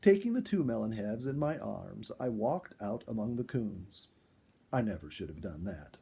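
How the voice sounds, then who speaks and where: A man reading a first-person animal story aloud in an expressive storyteller's style. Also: on the low side, normal speed, gravelly, slightly rough. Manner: expressive, acts out dialogue. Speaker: storyteller, reader.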